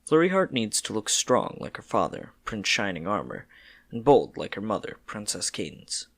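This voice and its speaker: A man's voice: on the low side, huge pitch swings, normal speed, creaky, a bit bright, breathy edge, no room sound. A man narrating a scripted story in a dramatic storybook style.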